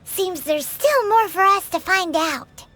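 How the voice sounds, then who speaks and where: A woman's voice: very high-pitched, takes it slowly, pushed and bright, full character voice. A woman voicing a tiny floating female fairy companion, bubbly and greedy, who talks about herself in the third person. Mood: curious. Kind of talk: video game dialogue. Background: game music.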